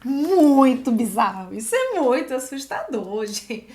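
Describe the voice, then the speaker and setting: A Brazilian woman with a notably high voice teaches screenwriting to viewers. Her delivery is chatty and expressive, with frequent little check-in tags as she explains and reads aloud. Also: unhurried. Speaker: screenwriting teacher and video host. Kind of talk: casual explanatory commentary with script read-aloud.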